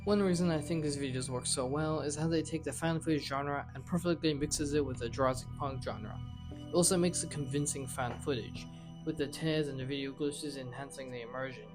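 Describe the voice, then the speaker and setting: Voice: mid-range voice, moderate pace, distant mic. A narrator giving informal scripted video commentary, now and then stumbling over words. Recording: roomy echo under loud guitar music. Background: loud plucked guitar music.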